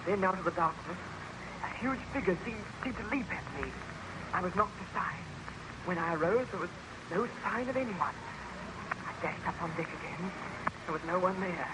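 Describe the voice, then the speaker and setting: An actor playing a dutiful ship's first officer in an old radio serial. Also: male voice, high voice, lively intonation, moderate pace, pauses often, very noisy. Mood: shaken. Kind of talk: 1930s-40s radio serial acting.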